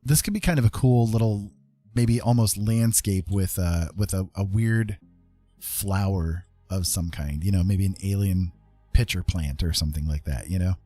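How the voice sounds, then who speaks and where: A man with a fairly low voice talks casually through an art lesson. He thinks aloud as he goes, rambling informally and often tagging questions onto his sentences. Music plays behind him. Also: normal speed, dark tone. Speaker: art tutorial host.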